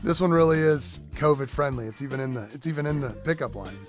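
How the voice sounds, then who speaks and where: A man's voice: on the high side, expressive, quick pace, faint music behind. A man co-hosting a morning radio show, joking and teasing his partner and laughing easily. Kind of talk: bantering morning radio chat.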